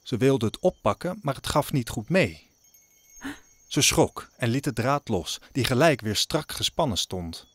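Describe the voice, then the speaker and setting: A man's voice: medium pitch, expressive, unhurried, slightly rough, no echo. A man narrating an audio drama as a scripted, dramatic story. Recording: music under the narration.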